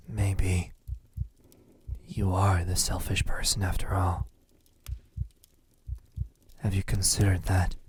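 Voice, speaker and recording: A man playing a lovesick, possessive, centuries-old vampire in an ASMR roleplay, brooding and wounded, in a husky, breathy voice that often sinks to a whisper, with halting pauses. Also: on the low side, darkish tone, slight background noise. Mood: accusing, hurt. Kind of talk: ASMR roleplay, slow dramatic monologue.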